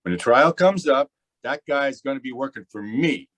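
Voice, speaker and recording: A man's voice: mid-range voice, lively intonation, normal speed, fairly bright tone. Man playing a brash, cocky gangster boss. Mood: smug. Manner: brash slangy tough-guy talk. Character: cocky, stubborn male racketeer boss. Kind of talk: old time radio gangster acting.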